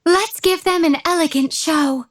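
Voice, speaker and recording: High-voiced young game heroine. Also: female voice, a little slow. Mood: poised, elegant. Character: spirited young female monster trainers from a game. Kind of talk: short scripted game voice lines.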